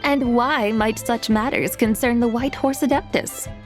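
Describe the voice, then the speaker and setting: An actress voices an ageless immortal lady in a video game. Her high voice is formal and lofty with a teasing amusement, and music plays faintly behind her. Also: steady tone, measured pace, natural voice. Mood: curious, lofty.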